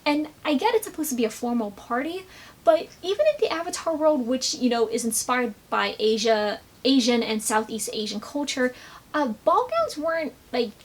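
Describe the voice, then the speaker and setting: High-voiced woman giving a casual recap. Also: moderate pace. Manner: animated, sarcastic asides. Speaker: video commentator. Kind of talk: casual fan comic recap commentary.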